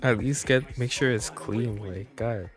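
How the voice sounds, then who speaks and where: A man streaming a game and chatting casually in a rambling, halting way that repeats words. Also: medium pitch. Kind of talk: casual livestream chat. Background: game music and voices.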